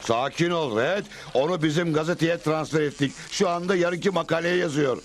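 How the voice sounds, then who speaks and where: A man's voice: fairly high pitch, fairly quick, over music, close to an everyday voice. A man voicing a smug, pompous crooked newspaper villain in a Turkish-dubbed cartoon.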